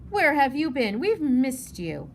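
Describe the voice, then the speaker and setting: Earnest, inquisitive girl of ten, played by a woman, high voice, storybook reading, noisy background. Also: big pitch swings, a little slow, exaggerated voice. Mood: proud, eager. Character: earnest, curious ten-year-old Danish girl. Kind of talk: children's novel read-aloud. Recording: noticeable background noise.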